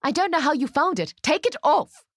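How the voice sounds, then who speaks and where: A woman voicing a weary, exasperated old woman with a gruff edge, in a dramatic story reading. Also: medium pitch, expressive, normal speed, a little mellow, no echo, studio quality, close to an everyday voice. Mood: fuming.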